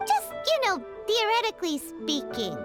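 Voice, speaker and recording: Tiny squeaky fairy sidekick, game voice, super high and bouncy, loud music behind. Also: female voice, huge pitch swings, normal speed, full character voice. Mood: nervous, chirpy. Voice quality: squeaky, childlike. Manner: eager, easily flustered. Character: small chirpy floating fairy companion, childlike, excitable. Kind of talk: English video game voice acting.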